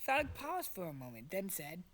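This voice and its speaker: A woman's voice: lowish voice, big pitch swings, fairly quick, a bit bright. A young woman narrating fan fiction in a dramatic but casual, jokey storytelling style. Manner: dramatic storytelling, profane asides. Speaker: narrator and podcast cast member.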